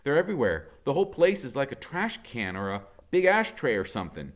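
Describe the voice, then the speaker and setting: A man reading a novel aloud like a teacher, with expressive, dramatic first-person storybook narration. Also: medium pitch, a little fast. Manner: animated, dramatic.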